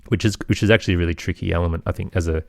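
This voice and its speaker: A man's voice: sits low, fairly even intonation, rapid-fire, vocal fry, darkish tone, no room sound, clean studio sound. A middle-aged man talking casually as an interview guest, in a hesitant, self-effacing, rambling way. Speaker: podcast guest, audio storyteller and producer.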